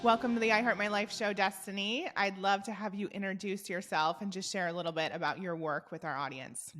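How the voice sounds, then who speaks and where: Woman, podcast host, bright tone, encouraging, curious. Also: mid-range voice, fairly even intonation, brisk, soft background music. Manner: warmly encouraging, curious questions. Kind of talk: interview hosting.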